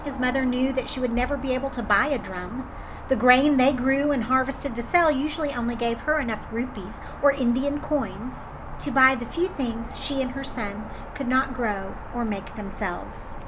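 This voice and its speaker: A woman storyteller reading a children's folktale aloud in an expressive way. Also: sits high, normal speed, background noise. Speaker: drama storyteller.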